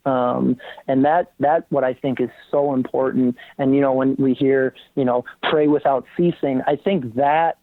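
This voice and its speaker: A man's voice: medium pitch, no room sound. A man, a college basketball coach, speaking earnestly as a guest, with many ums and restarts. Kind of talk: casual interview talk. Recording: tinny phone-like line, dry.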